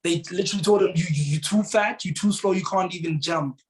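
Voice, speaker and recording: A man's voice: sits high, fast talker. A man from South Africa, a Tswana speaker, telling a motivational story earnestly as an interview guest. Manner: earnest, repeats words, rises to emphatic bursts.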